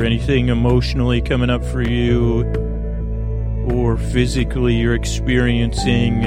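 A man hosting a bedtime podcast in a creaky, soothing voice, meandering haltingly through a rambling monologue and trailing off into tangents. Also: mid-range voice. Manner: lulling, soothing. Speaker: host of a bedtime sleep podcast.

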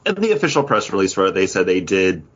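A man's voice: deep voice, big pitch swings, fast, breathy edge, highs cut off. A man chatting casually as a podcast co-host, explaining his points in short, broken phrases. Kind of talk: casual chat about theme park attractions.

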